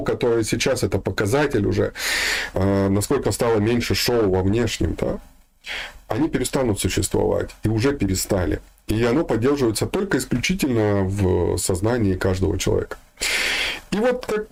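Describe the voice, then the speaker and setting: A man's voice: fairly low pitch, gravelly, a little mellow, husky. A man giving an informal spiritual talk, speaking colloquially with some slang and addressing his listeners directly.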